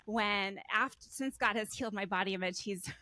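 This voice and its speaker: A woman's voice: mid-range voice, a little fast, pushed and bright, no echo. A woman in her forties, a guest coach, talking in an earnest, reflective way and laughing often in a casual podcast interview. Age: 40s. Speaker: guest, body image coach.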